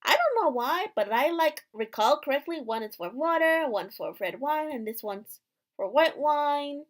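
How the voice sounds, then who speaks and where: A high-pitched young princess voiced by a woman in a visual novel. She is eager and scatterbrained, with a girlish, halting way of talking full of filler "like". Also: very animated. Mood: puzzled.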